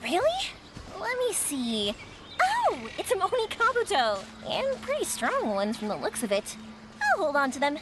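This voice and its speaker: A woman voicing a bold, curious, excitable young game character with a breezy, exclamatory, casual way of talking. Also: extremely high pitch, measured pace, background noise, full character voice. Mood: eager, upbeat. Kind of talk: video game voice acting.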